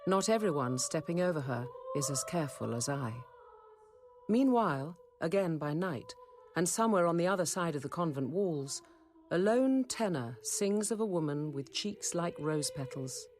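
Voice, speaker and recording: An actress playing a composed, dryly witty convent apothecary nun in a radio drama, measured and articulate. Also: female voice, low voice, lively intonation, darkish tone, soft background music. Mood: reflective, measured. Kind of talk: radio drama acting and narration.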